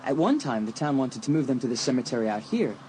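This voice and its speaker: A man acts a confident teenage boy in a dramatised audiobook. He is sporty, teasing and romantic, with a mid-pitched voice. Faint noise sits on the recording. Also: very animated, fast talker, dark tone, natural voice. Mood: matter-of-fact.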